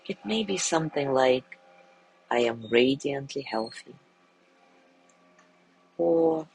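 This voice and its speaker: A man guiding a sleep meditation in a gentle, soothing way, softly spoken and unhurried, with long pauses between phrases. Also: mid-range voice, expressive, vocal fry, a little hiss or hum, poor audio. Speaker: meditation guide.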